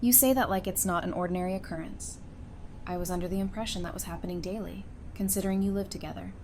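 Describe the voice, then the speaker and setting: A woman acting a sassy, warm-hearted character in an audio drama, with casual, teasing delivery. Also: fairly low pitch, fast, lightly acted. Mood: warm, wry. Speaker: voice actor in a fan audio drama. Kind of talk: acted audio drama dialogue. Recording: faint background ambient noise.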